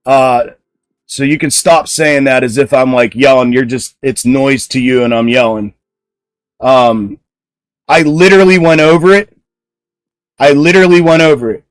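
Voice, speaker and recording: A man hosting a live stock trading stream, talking with a loud speaking voice and answering viewers bluntly. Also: mid-range voice, fairly even intonation, moderate pace, long pauses, smooth, dry recording, poor audio. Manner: blunt, answers chat comments directly. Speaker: stock trading livestream host. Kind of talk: casual livestream commentary.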